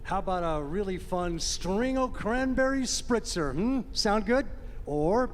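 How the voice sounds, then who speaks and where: Chirpy lounge-car bartender, very high male voice, salesy, stage radio drama, reverberant hall. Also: expressive, measured pace. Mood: chirpy, eager. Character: perky, pushy train lounge bartender pitching festive drinks. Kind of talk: live stage radio play acting. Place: large hall, live stage show. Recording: reverberant hall, faint audience room noise.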